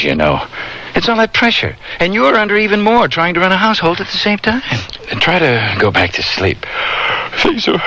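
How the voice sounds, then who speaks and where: An actor playing a sensible husband in a radio drama, reassuring and dismissive, reasoning emphatically. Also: male voice, medium pitch, sharp tone, heavily acted. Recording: slightly noisy vintage radio recording.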